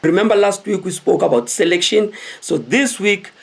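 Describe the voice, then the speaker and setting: A South African man in his thirties tells stories casually to the camera in an animated, conversational way, often putting questions to his viewers. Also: high voice, moderate pace, creaky. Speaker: host telling stories of his military service. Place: small indoor room with cloth backdrop.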